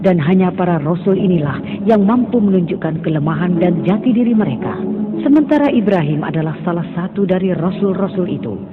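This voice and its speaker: A man's voice: sits high, expressive, fairly quick. A man narrating a religious cartoon story in a solemn, moralizing tone. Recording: thin phone-like sound over music.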